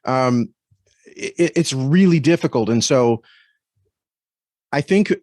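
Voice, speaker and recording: A man talking casually as an interview guest, earnest and reflective, with halting phrasing. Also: medium pitch, lively intonation, a little mellow, no echo, clean studio sound. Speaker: podcast guest and story critic.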